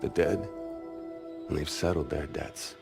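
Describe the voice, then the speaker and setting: An actor playing a weary young American Marine, narrating his war in a solemn, measured way over music. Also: very deep voice, vocal fry, exaggerated voice. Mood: somber. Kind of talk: scripted reflective voice-over narration. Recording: loud music under the voice.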